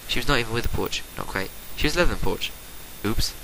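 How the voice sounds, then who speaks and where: A man reading fan fiction as a dramatic narration, theatrical and swinging between hushed and forceful, on an amateur home recording. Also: medium pitch, lively intonation, fast, slight background noise, low-quality recording. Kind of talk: dramatic storybook-style narration.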